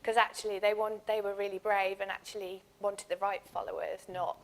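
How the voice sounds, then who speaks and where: A woman's voice: medium pitch, flat delivery, brisk. A woman speaking on an industry panel in a packed conference room, candid and earnest. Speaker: panelist from an insurance firm. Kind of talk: panel discussion answer.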